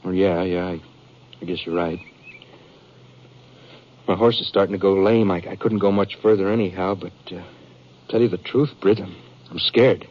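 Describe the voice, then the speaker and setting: A man acting a frightened, aggrieved American rancher on the run in a 1950s radio western, speaking in a rustic, earnest, halting way. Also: sits low, fairly even intonation. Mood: scared, confiding. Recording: muffled 1950s radio recording.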